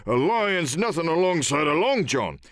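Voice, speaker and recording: A man playing a rough old sailor, swooping pitch. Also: big pitch swings, unhurried, a bit bright, no echo, clean studio sound. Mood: admiring, boastful. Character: rough, admiring old sailor, the ship's coxswain. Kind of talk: audiobook character acting.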